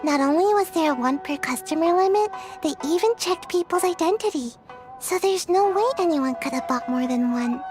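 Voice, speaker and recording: Little girl game character, very high voice, lively and swooping, naive, eager, game music behind. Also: moderate pace. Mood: earnest. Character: excitable childlike little girl, naive, eager and sleepy-headed. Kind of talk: English video game voice acting. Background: video game music.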